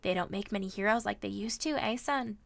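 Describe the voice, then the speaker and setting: A woman's voice: mid-range voice, close to an everyday voice. Woman voicing a hissing, taunting snake woman. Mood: mocking. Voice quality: hissing, rasping, breathy. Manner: slow, taunting. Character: Echidna, sinister mocking snake-woman, mother of monsters. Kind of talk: audiobook-style storybook reading.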